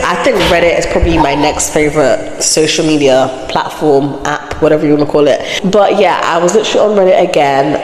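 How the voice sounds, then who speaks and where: A woman's voice: fairly low pitch, a little mellow. A woman hosting a crochet channel, chatting with a blocked, nasal voice from a cold, reacting animatedly and laughing in disbelief. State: has a cold, blocked nose. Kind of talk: chatty reading and reacting to online posts. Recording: echo effect with long reverb.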